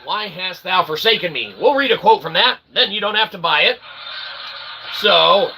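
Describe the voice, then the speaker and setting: Male channel host, gravelly and slightly high, sarcastic rambling pitch man. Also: animated, normal speed, bright, forceful tone. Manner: sarcastic, self-mocking, keeps asking "okay?". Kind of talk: rambling self-promotional monologue.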